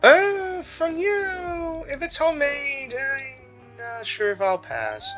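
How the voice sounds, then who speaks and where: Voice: sits high, very animated, slow pace, highs cut off. A gamer giving casual, slangy commentary over a playthrough. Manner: slangy, informal. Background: game music.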